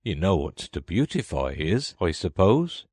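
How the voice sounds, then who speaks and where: A man plays a blunt, bossy Gryphon from a children's storybook. The beast talks in rough, uneducated turns of phrase and scolds impatiently, its pitch jumping about with emphasis. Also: big pitch swings, unhurried, a little mellow, no echo, studio quality, full character voice. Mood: scolding. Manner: blunt, rustic working-class diction. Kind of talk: storybook character reading.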